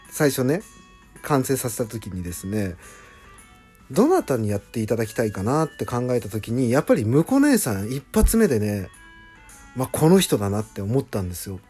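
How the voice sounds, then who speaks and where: A man speaks alone in a casual podcast style, effusive and emphatic. His pitch swings widely over a mellow, slightly husky tone. He stretches words out for emphasis. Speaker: host, original author of a radio drama. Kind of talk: casual solo podcast talk.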